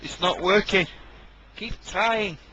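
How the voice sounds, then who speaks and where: A man's voice: high-pitched, slow, sharp tone, highs cut off, a little hiss or hum, heavily acted. A man playing a defiant, scheming military officer in an amateur audio drama, with an insistent manner. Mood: furious, urgent.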